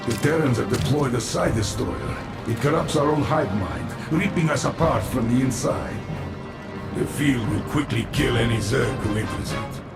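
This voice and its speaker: A man voices a sardonic, weary infested soldier for a video game. His voice is gravelly and fairly low. It echoes over a clear musical bed. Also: expressive, normal speed, over loud music, lightly acted. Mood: grim. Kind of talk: dramatic video game acting.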